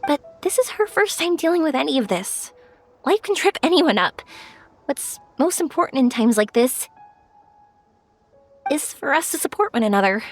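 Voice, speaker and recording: A cheerful young anime game heroine with a high voice. The delivery is kind, earnest and very lively in pitch, with some music behind. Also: female voice, normal speed, long pauses. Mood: gentle, sympathetic. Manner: earnest, expressive. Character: cheerful, kind-hearted young woman, supportive and playful.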